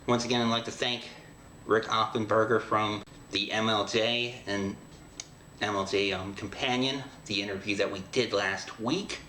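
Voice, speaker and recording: A middle-aged man with a fairly low voice introduces his show in a casual, rambling way. He speaks in halting, conversational phrases. The room echoes noticeably around him, with a faint layer of noise behind. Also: fairly even intonation, bright, forceful tone. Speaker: host of an audio drama show. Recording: echoey room with background hiss.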